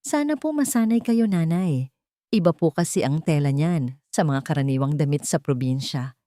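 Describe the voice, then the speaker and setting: A woman's voice: low-pitched, lively intonation, moderate pace, dark tone, dry recording, studio quality. A woman storyteller voicing a cold, disdainful, wealthy daughter-in-law with a clipped, snobbish manner. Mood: condescending.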